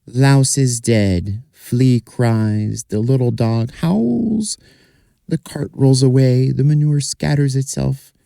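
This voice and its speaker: A man voicing a talking oak tree in a folk tale, measured and reciting. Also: mid-range voice, gravelly, warm tone, no room sound, clean studio sound, voice pushed up. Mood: solemn.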